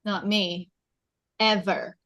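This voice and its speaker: A woman's voice: mid-range voice, steady tone, takes it slowly, a bit bright. A woman reading the part of a popular, conceited and defensive teenage girl in a film script table read, argumentative and frank. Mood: guarded.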